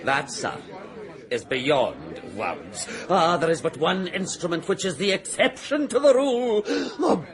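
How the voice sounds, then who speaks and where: A blustering Scotsman at a country-house party in a radio play. His voice is pitched high and swings widely, with a Scottish accent and an earthy, emphatic delivery. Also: sing-song, measured pace. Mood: hectoring. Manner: gruff, emphatic, rolls out earthy words. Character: blustering older Scotsman who scorns music without words. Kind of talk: 1980s radio drama acting.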